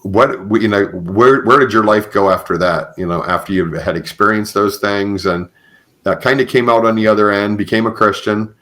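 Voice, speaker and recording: A man's voice: lowish voice, moderate pace, fairly bright tone. A man hosting a podcast interview, talking conversationally in an earnest, affirming way. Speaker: podcast host.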